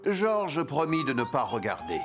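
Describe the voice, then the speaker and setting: A man narrating a children's cartoon in a storybook manner. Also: medium pitch. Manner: slow, lively storytelling. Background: music.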